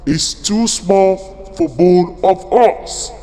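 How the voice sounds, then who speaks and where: Storybook lion, male actor: hungry, menacing, sly, very slow growling delivery, cavernous reverb. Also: high-pitched, mellow. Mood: hungry, scheming. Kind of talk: storybook character acting. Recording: long hall-like reverb.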